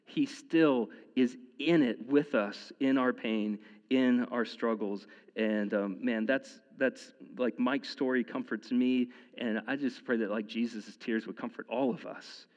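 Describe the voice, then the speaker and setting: A man's voice: mid-range voice, darkish tone, big-room sound, muffled recording. A man in his thirties preaching a sermon in a casual, conversational way, often restarting his sentences and using fillers. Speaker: pastor.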